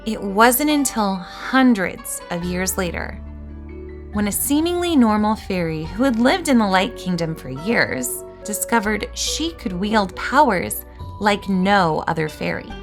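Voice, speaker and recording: A woman playing an earnest, excitable, bookish fairy scholar who lectures about magic in a scripted roleplay. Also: medium pitch, measured pace. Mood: storytelling, grand. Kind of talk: scripted roleplay voice acting with storytelling. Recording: music behind the voice.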